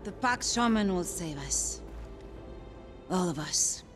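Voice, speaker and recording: Slow, low, weary woman commander. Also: animated. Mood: earnest hope. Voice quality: breathy, often dropping to a whisper. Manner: slow, solemn, earnest. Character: grave, weary female military leader clinging to hope. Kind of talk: dramatic video game cutscene acting. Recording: music behind the voice.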